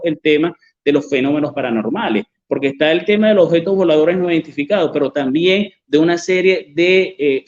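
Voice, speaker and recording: A man's voice: on the high side, muffled recording. A man with a Venezuelan accent, an author answering interview questions in a hesitant way with many fillers and restarts. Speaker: author and journalist, interview guest.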